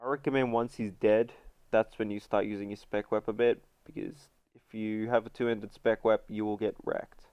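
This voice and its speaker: A stuffy-nosed man talks through a gaming tutorial in a loose, rambling way. His voice sounds smooth and very dry, right up on the mic with no room sound. The top end is a little dull. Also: on the low side, little pitch movement, moderate pace, no echo. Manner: chatty, many fillers. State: congested, runny nose. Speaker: gaming video host. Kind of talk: casual game guide voice-over.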